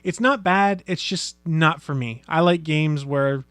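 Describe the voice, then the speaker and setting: A man's voice: fairly high pitch, a little slow, a bit bright. A man hosting a geeky podcast, chatting casually and laughing easily. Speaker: podcast host.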